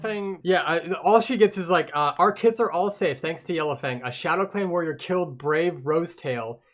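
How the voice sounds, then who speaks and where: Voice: mid-range voice, brisk. A book club podcast co-host discussing a book in a casual, conversational way.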